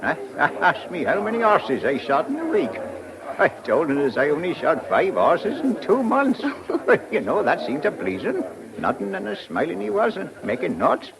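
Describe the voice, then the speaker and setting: A man's voice: on the high side, wildly swooping pitch, moderate pace, vocal fry, crowd noise, exaggerated voice, poor audio. An actor playing a rambling, puzzled old village man with a rural southern English accent in a radio drama. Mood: rambling, amused. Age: older. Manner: rambling, chuckling country talk. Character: garrulous, puzzled old village horse slaughterman.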